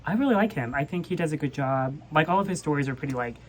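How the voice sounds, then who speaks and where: A woman's voice: deep voice, expressive, fast, a little mellow. A young woman hosting a casual book chat in an informal, conversational, hedging manner. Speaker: book vlogger. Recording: clear background noise.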